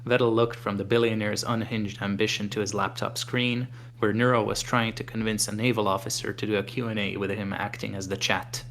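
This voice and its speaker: A man's voice: fairly low pitch, flat delivery, fairly quick, gravelly, hardly any pauses, fairly bright tone, slight background noise. A man reading a fan story aloud, dropping casual asides between passages. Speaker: fanfic reader.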